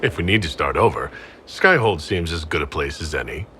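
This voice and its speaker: An actor playing a composed, questioning heroic leader in a video game, wry and thoughtful. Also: male voice, extremely low pitch, expressive, fairly quick, sharp tone, slight background noise, heavily acted. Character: composed, questioning young male hero leader. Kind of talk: dramatic video game acting.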